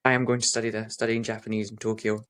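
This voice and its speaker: A young man from the west coast of Scotland with a light Scottish accent, chatting as a relaxed, modest podcast guest over a video call. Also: lowish voice, fast talker. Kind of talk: casual chat.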